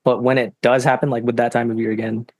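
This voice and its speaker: A young man speaks as a guest in a casual interview, his voice mid-range and soft. He feels his way through his thoughts with many "like"s and pauses. The recording is dry and close-miked. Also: medium pitch, flat delivery, no room sound. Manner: soft-spoken, hesitant, heavy use of "like". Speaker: guest singer-songwriter.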